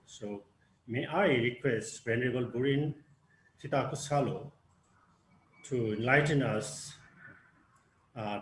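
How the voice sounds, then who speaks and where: A man moderating a webinar and presenting slides, with lots of pauses and fillers. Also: medium pitch. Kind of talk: hesitant presentation with many fillers. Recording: echoey room with faint hiss.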